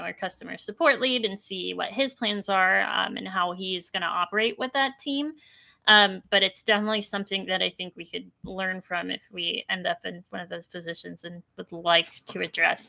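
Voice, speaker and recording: A woman's voice: mid-range voice, creaky, bright, forceful tone. A woman working as a community manager, answering questions casually on a livestream with hedges and easy laughter. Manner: casual, hedging, laughs easily. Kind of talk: livestream panel chat.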